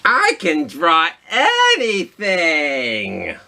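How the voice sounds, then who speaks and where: A man voicing a boastful, excited giant squid from a picture book, in loud, drawn-out exclamations. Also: a little high, vocal fry, voice pushed up, exaggerated voice. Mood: proud, excited.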